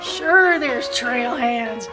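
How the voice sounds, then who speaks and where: A woman's voice: a little high, expressive, very slow, over music, heavily acted, low-quality recording. A woman playing a brassy, flirtatious, bossy saloon madam with folksy frontier speech in an amateur radio western. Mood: sassy. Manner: sassy, folksy frontier grammar. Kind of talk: amateur old-time radio play acting.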